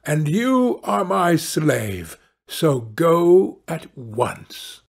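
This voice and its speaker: A man's voice: on the high side, big pitch swings, extremely slow, gravelly, husky, full character voice. A man voicing a greedy, domineering fisherman's wife in a fairy-tale audiobook, imperious and demanding, stretching out the words. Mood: imperious, insistent.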